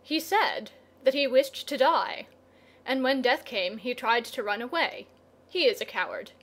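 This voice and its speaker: A woman's voice: high voice, animated, a little slow, fairly bright tone, full character voice. A woman voicing a proud, hot-tempered male lion god with an emphatic, declamatory manner. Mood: loud, indignant.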